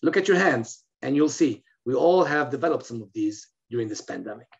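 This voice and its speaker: Gravelly Lebanese-born man lecturing. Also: mid-range voice, expressive, brisk. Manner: explanatory, with frequent fillers and restarts. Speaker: physician lecturer. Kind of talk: recorded medical webinar lecture. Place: indoor room, in front of a plain wall. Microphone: webcam on a video call. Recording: slightly dull, lo-fi video call audio.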